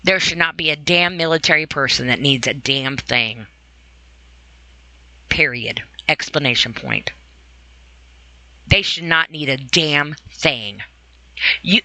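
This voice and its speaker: A woman's voice: low voice, a little slow, pauses often, bright, forceful tone, no echo, low-quality recording. A woman hosting an informal, opinionated podcast monologue, speaking emphatically and repeating phrases for stress. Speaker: podcast host.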